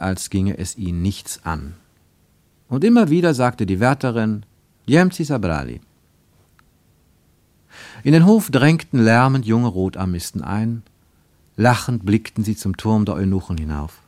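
Male narrator for literary prose, low-pitched voice, very dry studio sound. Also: expressive, unhurried, darkish tone, no echo. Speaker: narrator reading a literary story.

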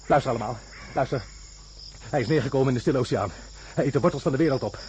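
An actor playing a delirious, obsessed astronaut in a radio drama, raving and repeating himself. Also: male voice, mid-range voice, rapid-fire, lightly acted. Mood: desperate. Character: delirious, frantic male astronaut stranded in jungle, obsessed. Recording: radio drama with loud insect noise. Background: jungle crickets and insects.

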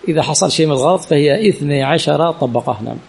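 A man's voice: a little high, quick pace, vocal fry, a little mellow, slightly rough. A man teaching a lesson in a religious teaching center, often quizzing his students with rhetorical questions and repeating key words. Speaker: sheikh teaching hadith chain studies. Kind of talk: classroom lesson with Q&A.

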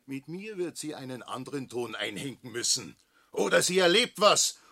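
An aggrieved Austrian village shopkeeper in a radio drama. The voice is sharp and raspy, swinging widely in pitch as he rants with blustering emphasis. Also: very animated, normal speed, exaggerated voice. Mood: threatening. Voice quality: gravelly, raspy. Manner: ranting, emphatic. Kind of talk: German radio play acting, rural dialect.

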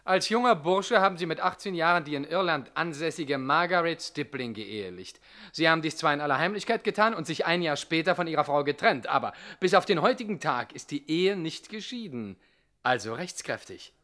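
A bright, sharp-voiced police commissioner in a 1960s radio comedy. He sits fairly high in pitch and speaks formally and officiously, full of bureaucratic regret. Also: animated, a little fast. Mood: officious, apologetic.

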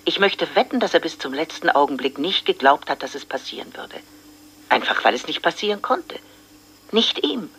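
A woman's voice: low-pitched, very animated, moderate pace, fairly bright tone, breathy edge, exaggerated voice. A solemn grieving townswoman.

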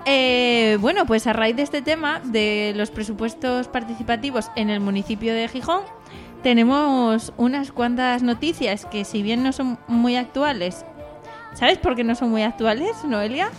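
Chatty Spanish woman, fairly high voice. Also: unhurried. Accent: Spanish from Spain. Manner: informal, jokey, chatty. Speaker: radio show host. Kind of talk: casual talk show chat. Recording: music behind the talk.

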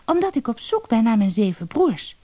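A plucky little fairy-tale girl, played by a woman narrator, with a raised, lively voice. She is eager and insistent, very much in earnest. Music accompanies her. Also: a little high, moderate pace, pitched above the performer's own voice. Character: determined, earnest little girl seeking her seven brothers.

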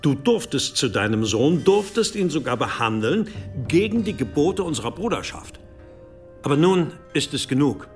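An actor playing a stern, commanding elderly abbot in a medieval radio drama, admonishing with authority. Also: male voice, fairly high pitch, sing-song, moderate pace, faint music behind. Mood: insistent. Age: older. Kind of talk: German radio drama acting.

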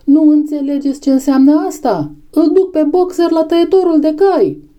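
A woman voicing an agitated male donkey who shouts urgent warnings in a storybook reading. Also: high-pitched, fairly even intonation, highs cut off, voice pushed up, lightly acted. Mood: frantic, angry.

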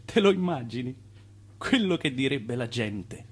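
An actor playing an ailing, stubborn old Italian swindler in a radio drama, weary but proud, with a wistful, theatrical delivery. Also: male voice, medium pitch, very animated, a little slow, slight background noise.

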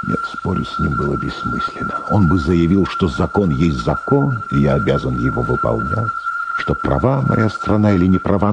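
An actor playing a troubled middle-aged prosecutor in a radio drama monologue, questioning himself and justifying his compromises with dramatic pauses. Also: low-pitched, very noisy. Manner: brooding, rhetorical self-questioning, dramatic pauses.

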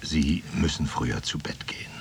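Calm practical doctor in a radio play, deep-ish voice, measured and reassuring. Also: male voice, creaky, noisy background. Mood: concerned. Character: calm, practical, patient male doctor advising a patient. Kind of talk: German radio drama acting.

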